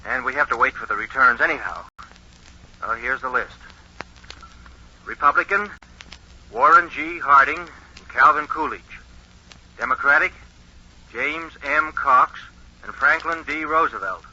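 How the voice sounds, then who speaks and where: A man playing an earnest, careful radio announcer of 1920, reading formally and deliberately in a 1940s radio re-enactment. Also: medium pitch, sharp tone, slightly rough, exaggerated voice. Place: radio studio. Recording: dull, lo-fi 1940s radio recording.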